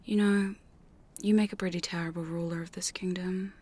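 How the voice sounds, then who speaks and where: A woman's voice: lowish voice, fairly even intonation, warm tone, lightly acted. A woman playing a teasing, domineering female vampire hunter in a mostly whispered, seductive ASMR roleplay. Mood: bossy, condescending. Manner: slow, breathy, seductive, commanding. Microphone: close studio condenser microphone.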